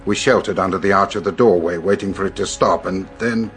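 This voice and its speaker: A grave British superintendent in a detective radio play. His voice is low and he tells things deliberately and with care, with faint music underneath. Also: fairly bright tone. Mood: grave, intent. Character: grave male police superintendent recounting a strange murder. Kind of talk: British radio drama acting, recounting events.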